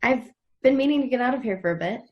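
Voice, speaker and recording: A woman's voice: fairly high pitch, expressive, fast, lo-fi sound. A woman reading the part of a bold, restless young woman, frank and sarcastic, in a TV drama table read. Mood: blunt, teasing.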